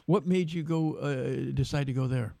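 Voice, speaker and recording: A man interviewing a guest, talking slowly and hesitantly with many fillers and restarts. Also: mid-range voice, gravelly, mellow, dry recording. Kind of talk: casual interview.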